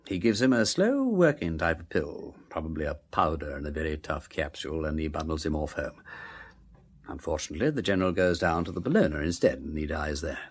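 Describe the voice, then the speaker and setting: An urbane aristocratic English detective in a radio play. His voice sits low and moves in very animated swoops. He drawls old-fashioned slang with breezy flippancy, yet stays kindly. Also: brisk, breathy edge, heavily acted. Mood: brisk, explanatory. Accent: upper-class English. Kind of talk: full-cast radio drama acting.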